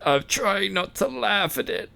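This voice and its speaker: A male streamer doing a live reaction, reading text aloud and adding sarcastic, deadpan asides. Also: high-pitched, a little slow, gravelly, a little hiss or hum. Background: music.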